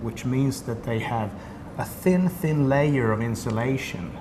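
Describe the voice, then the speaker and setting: A man's voice: mid-range voice, animated, a little slow. A man from Sweden with a Swedish accent, a company representative explaining a product in detail during an interview. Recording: noisy room ambience.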